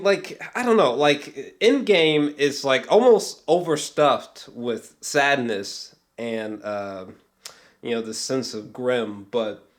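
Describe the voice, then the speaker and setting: A man giving a casual, unscripted vlog review as an excitable, sweary movie fan who rambles, repeats himself and trails off mid-thought. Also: medium pitch. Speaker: amateur movie reviewer vlogger.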